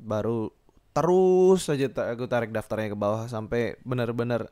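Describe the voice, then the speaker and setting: Clear-voiced young man, halting podcast monologue. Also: medium pitch, mellow, dry recording. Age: young adult. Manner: halting, rambling, thinking aloud with trailing repetitions. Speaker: podcast host. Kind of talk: casual solo podcast monologue.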